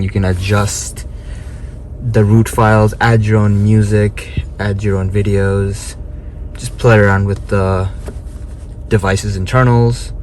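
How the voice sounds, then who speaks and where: Low-voiced man, casual demo, noisy car. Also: a little mellow. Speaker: product reviewer demonstrating a car head unit. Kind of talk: casual hands-on demo chat. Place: inside a car. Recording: noisy car interior, vehicle ambience.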